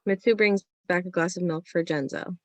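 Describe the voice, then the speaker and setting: A woman's voice: fairly low pitch, normal speed, a little mellow. A woman narrating the stage directions of a screenplay in a scripted reading.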